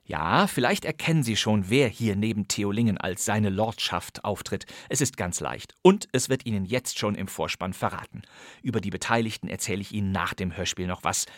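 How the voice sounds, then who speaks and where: A man's voice: on the low side, moderate pace, dry recording. A man hosting a radio podcast, reading a script with wry, ironic commentary in a studio. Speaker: podcast host.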